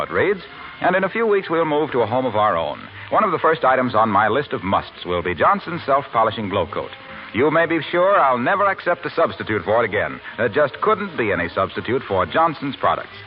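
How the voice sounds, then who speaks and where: A man delivers a polished, sincere sponsor message as a 1940s radio announcer. His mid-pitched voice is very animated and emphatic, on a muffled old recording with faint music. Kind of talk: 1940s scripted sponsor advertisement.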